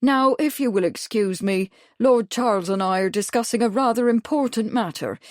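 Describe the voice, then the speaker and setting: A woman voicing a calm, resigned male village constable who speaks steadily and firmly. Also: fairly high pitch, normal speed, dry recording, clean studio sound, close to an everyday voice. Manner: steady, firm.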